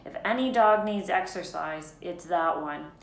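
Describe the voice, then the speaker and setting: A woman's voice: fairly low pitch, measured pace, vocal fry, reverberant, slight background noise. A woman voicing a hot-headed, easily dismayed young schoolboy in a storybook reading, with a blunt, urgent manner. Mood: indignant.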